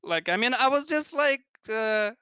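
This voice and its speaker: Russian-accented man in his twenties, bright crisp voice, dry close sound, stop-start delivery. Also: very high-pitched, lively intonation, no room sound. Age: 20s. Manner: halting, many restarts and fillers. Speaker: guest, pro gamer. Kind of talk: casual interview chat.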